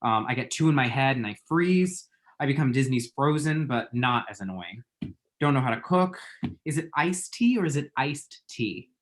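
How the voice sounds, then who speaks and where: A man's voice: medium pitch, expressive, moderate pace, natural voice. Man acting a chatty, flippant lovesick young guy. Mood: self-deprecating. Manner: chatty, dry, self-deprecating, pop-culture asides. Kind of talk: screenplay table read.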